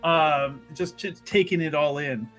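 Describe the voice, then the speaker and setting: A man chatting casually as a roleplaying player, describing what his character does in halting phrases. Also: fairly high pitch, a bit bright, soft background music, low-quality recording. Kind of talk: casual game chat, describing a character's actions.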